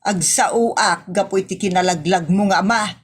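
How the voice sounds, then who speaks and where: A woman's voice: mid-range voice, moderate pace, slightly rough, natural voice. A woman with an Ilocano accent playing an exasperated, sarcastic housewife who scolds in a comedy audio drama. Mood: angry.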